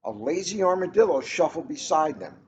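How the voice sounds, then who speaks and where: A man reading a children's story aloud in an expressive, dramatic way, drawing out key words for emphasis. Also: a little high, a little fast. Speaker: storybook reader. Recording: noisy, dull lo-fi recording.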